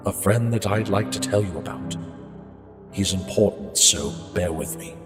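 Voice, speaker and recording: A man playing an unhinged murderer narrating his haunted tale in a brooding, halting, theatrical horror monologue. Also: sits low, close to an everyday voice. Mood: brooding, musing. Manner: brooding, halting, slow, theatrical prose delivery. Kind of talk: dark dramatic horror monologue. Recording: echoey reverberant hall sound, music behind.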